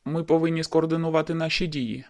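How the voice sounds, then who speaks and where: A woman's voice: sits low, steady tone, quick pace, a little mellow, pitched below the performer's own voice. A woman voicing a bold young male werewolf in an audiobook, commanding and teasing, with a firm, persuasive tone. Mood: businesslike.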